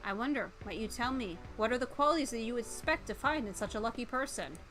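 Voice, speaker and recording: Woman voicing a charming gentleman chicken tycoon, courtly, earnest, self-promoting, dating-game figure, music behind. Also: a little high, lively intonation, quick pace. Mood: smooth, courtly.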